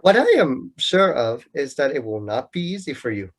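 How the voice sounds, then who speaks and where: A man's voice: mid-range voice, big pitch swings, moderate pace. A man acting a sarcastic, lovelorn young student in an amateur radio play, with a mocking, bookish, declamatory manner. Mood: proud, defiant.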